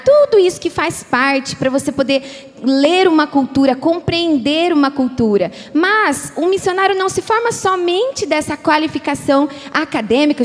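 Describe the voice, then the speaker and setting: A woman moderating a panel for a missionary school, explaining its training to an audience in a teacherly way. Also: high-pitched. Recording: echoey hall sound.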